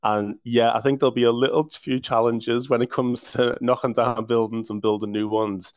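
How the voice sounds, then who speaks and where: A man's voice: mid-range voice, little pitch movement, normal speed, no room sound. A man with a Liverpool accent, a council official answering interview questions with enthusiasm and frequent restarts. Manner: enthusiastic, restarts words often. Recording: muffled dry audio.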